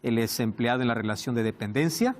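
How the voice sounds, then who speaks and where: A man hosting a Bible study program, speaking in a measured, emphatic way. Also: medium pitch, a little mellow. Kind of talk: studio presentation.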